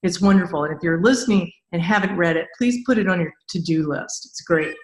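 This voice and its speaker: A woman with a lowish voice hosts a conversational interview podcast. She gushes with enthusiasm as she talks and asks questions. The sound is roomy, with noticeable echo around her words. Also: brisk. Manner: effusive, enthusiastic.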